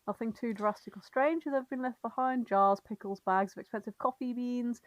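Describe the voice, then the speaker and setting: Higher-pitched, giggly woman co-host, close dry sound. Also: brisk, no room sound. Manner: giggly, sweary, self-deprecating. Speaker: podcast co-host. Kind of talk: casual comic chat, recapping fan fiction.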